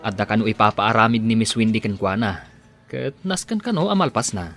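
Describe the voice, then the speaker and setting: A man's voice: on the low side, expressive, fairly quick, a bit bright, faint music behind. A man with a Filipino accent playing a chatty, teasing, obliging office underling in a radio comedy-drama. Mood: gossipy.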